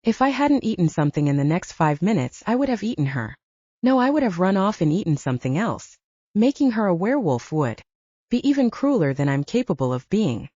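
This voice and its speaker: A woman's voice: fairly low pitch, sing-song, a little fast, warm tone, slightly rough, no echo, highs cut off. A woman voicing a brash, teasing male werewolf pack leader with a sarcastic, needling manner, in an audiobook. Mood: frank, teasing.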